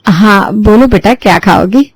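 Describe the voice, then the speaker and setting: A woman voicing a soft-spoken middle-aged mother-in-law who coaxes and gets flustered. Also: mid-range voice, a little slow, breathy edge, no echo. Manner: coaxing, flustered.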